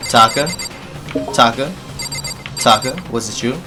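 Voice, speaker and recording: A man with a deep voice doing animated, joking let's play commentary and talking straight to his audience. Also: a little slow, music in the background. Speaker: gaming YouTuber.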